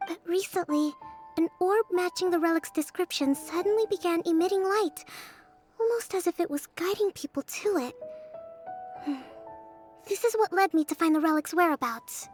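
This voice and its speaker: A woman voicing a composed young priestess and leader in a video game, speaking softly, politely and in measured, formal phrases. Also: extremely high pitch. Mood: composed, reflective. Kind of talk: video game character acting. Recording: music under the voice. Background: background music.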